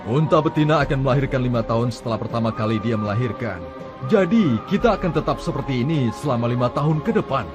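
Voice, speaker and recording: A man's voice: mid-range voice, lively intonation, quick pace, muffled recording, close to an everyday voice. A man playing a grumbling, discontented tribesman in a dubbed cartoon, over music. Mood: resentful. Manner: complaining, resentful. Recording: music bed under dialogue.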